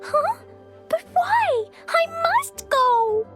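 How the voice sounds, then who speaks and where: A woman's voice: extremely high pitch, big pitch swings, extremely slow, music in the background, full character voice. A woman voicing an earnest, brave little girl knight with a sweet childlike voice in a video game. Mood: upset, pleading. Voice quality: small childlike voice. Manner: earnest, refers to herself in third person. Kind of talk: scripted video game dialogue.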